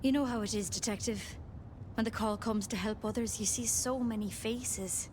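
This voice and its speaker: An actress playing a gentle, shaken healer in a video game, speaking haltingly and wistfully as if confused. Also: female voice, fairly high pitch, fairly even intonation, slight background noise, natural voice. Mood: wistful, weary.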